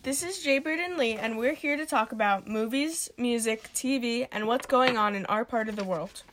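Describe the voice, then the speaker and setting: A woman's voice: a little high, moderate pace, a bit bright. Giggly, shy young girl co-host. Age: twelve-year-old girl. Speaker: podcast co-host. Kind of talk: casual home podcast chat.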